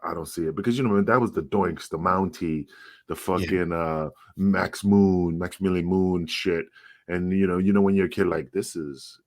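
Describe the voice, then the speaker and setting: A very deep-voiced man chats casually on a podcast, cursing freely and telling animated stories. The sound is close and dry. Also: normal speed, no room sound. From Puerto Rican. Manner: profane, animated storytelling with laughs. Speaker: podcast co-host, former referee.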